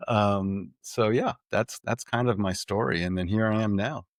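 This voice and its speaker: A middle-aged man from Puerto Rico, a tech executive guest telling his life story in an animated way, laughing often and repeating words as he starts sentences. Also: fairly low pitch, normal speed, no echo, clean studio sound. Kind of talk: conversational storytelling.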